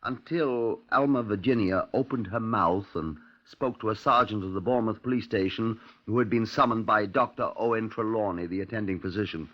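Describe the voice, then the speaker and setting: An actor playing a measured, wry British chief inspector recounting a case in a radio drama. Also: male voice, on the low side, close to an everyday voice. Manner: measured, storytelling. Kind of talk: 1950s radio drama narration. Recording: dull old radio recording.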